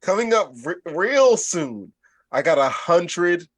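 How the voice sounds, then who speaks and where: A man's voice: high-pitched, big pitch swings, takes it slowly, no echo. A man co-hosting a podcast in casual group banter, repeating phrases for emphasis. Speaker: podcast co-host.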